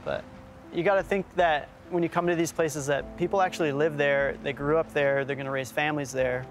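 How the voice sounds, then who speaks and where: A man's voice: a little high, fairly even intonation, brisk, slight background noise. A man in his thirties hosting a documentary, talking thoughtfully and casually, partly on location outdoors. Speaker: host. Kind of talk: documentary presenting and voice-over. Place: outdoors and inside an abandoned factory.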